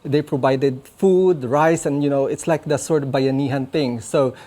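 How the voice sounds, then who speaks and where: A man's voice: medium pitch, normal speed. A man with a Visayan Filipino accent, a fashion designer chatting as a guest in a TV studio interview, full of fillers. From Philippines. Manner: chatty and animated, many fillers like "sort of" and "you know".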